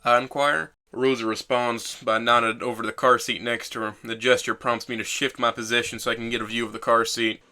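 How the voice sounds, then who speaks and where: A man's voice: mid-range voice, flat delivery, brisk, hardly any pauses, sharp tone. A man playing a bitter, self-loathing young man who narrates his own story in a sardonic, brooding way for an audio drama. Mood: dazed, confused.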